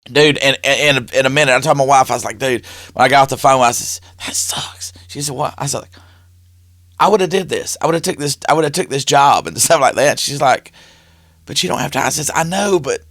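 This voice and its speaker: A man's voice: medium pitch, brisk, no room sound, studio quality. A man, telling stories in casual chat and acting out the dialogue as he goes. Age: 50s. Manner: animated storyteller who acts out quoted dialogue.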